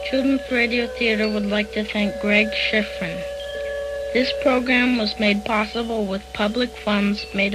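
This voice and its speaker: A woman's voice: medium pitch, poor audio. A woman radio announcer reading scripted credits for a production. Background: loud theme music.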